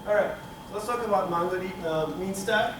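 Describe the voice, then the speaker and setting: Man lecturing in an echoey hall. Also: sits high. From Turkey. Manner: halting, many fillers and restarts. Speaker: conference speaker. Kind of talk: technical conference talk. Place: conference room with projector screen. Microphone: room camera from the back of the hall. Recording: echoey hall, speaker far from mic, room noise.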